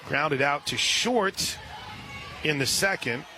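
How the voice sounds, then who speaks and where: High-pitched American man calling softball on radio. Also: lively intonation, slow pace, crowd noise. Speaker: radio play-by-play sports announcer. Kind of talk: live softball play-by-play commentary with sponsor reads.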